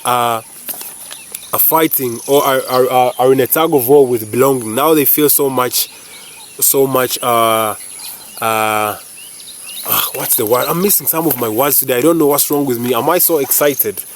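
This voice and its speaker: A man's voice: mid-range voice, normal speed, slight background noise. A man from Uganda with a Ugandan English accent who is not a native English speaker, vlogging casually, talking straight to viewers, rambling, searching for words and repeating himself. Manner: talks straight to viewers, keeps saying "guys". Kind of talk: casual opinion vlog.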